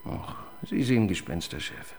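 A flustered young police assistant. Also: male voice, low-pitched, animated, moderate pace, darkish tone, slight background noise. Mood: matter-of-fact. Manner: flustered, stammering when pressed. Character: awkward young male police assistant, computer-minded. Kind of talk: radio crime drama acting.

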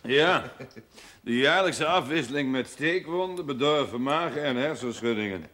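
A man's voice: mid-range voice, animated, moderate pace, a bit bright, natural voice. Dry, sardonic village doctor, played by a man. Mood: sardonic, matter-of-fact. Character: brusque, dry-witted village doctor, condescending and worldly. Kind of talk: period television drama acting.

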